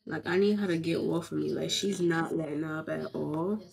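A woman's voice: low-pitched, steady tone, moderate pace, vocal fry, darkish tone. A young woman, congested from covid, chatting bluntly and swearing freely in a casual vlog. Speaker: vlogger.

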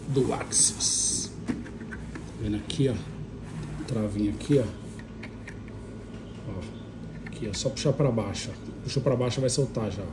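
A Brazilian man giving an informal hands-on tutorial in short phrases with frequent little check-in tags. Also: mid-range voice. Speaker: motovlogger demonstrating a how-to. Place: indoors, tiled kitchen. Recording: noisy phone recording with handling rustle.

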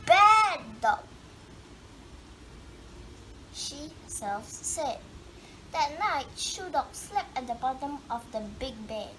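A woman with a high voice reads a children's storybook aloud. She goes slowly and stretches words for dramatic emphasis. The home recording has clear noise behind her. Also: lively intonation. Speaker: storybook reader. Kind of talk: expressive read-aloud of a children's picture book.

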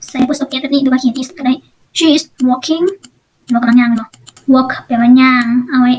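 A woman's voice: high voice, normal speed. A woman with a Lao accent teaching English in an online lesson, explaining step by step and often asking check questions.

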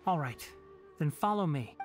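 A calm, earnest young ranger scholar, played by a man, measured and firm. His delivery has lively pitch movement while staying composed. Soft game music sits underneath. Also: natural voice. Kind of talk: video game voice acting.

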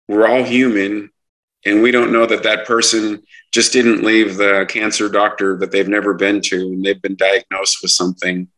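A man talking as a truck driver guest in an interview, earnest and halting, with many restarts. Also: on the low side, a bit bright, poor audio. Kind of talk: casual interview talk.

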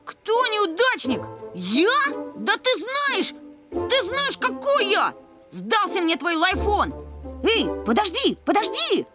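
Excitable high-pitched cartoon boy. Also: takes it slowly, over music, exaggerated voice. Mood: indignant. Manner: whiny, pleading, emphatic. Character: excitable, boastful, pleading young boy, football fan. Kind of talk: animated cartoon voice acting.

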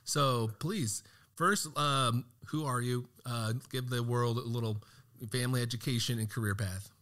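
A man's voice: mid-range voice, measured pace, pushed and bright. Upbeat male podcast host. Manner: upbeat, reads a prepared intro. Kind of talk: podcast interview and scripted intro.

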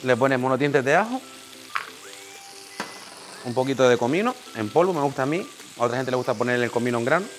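A Canarian-man in his forties, mid-pitched, leads a hands-on cooking class in a small bright teaching kitchen. He explains each step in a practical way and gives short instructions to the assistant beside him. Faint music plays under his voice. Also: expressive, fast talker, pauses often. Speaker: chef giving a cooking demonstration.

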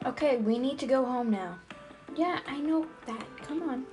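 A woman's voice: high voice, normal speed, darkish tone, faint music behind. A woman improvising voiced characters in a toy role-play story. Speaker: role-play video creator.

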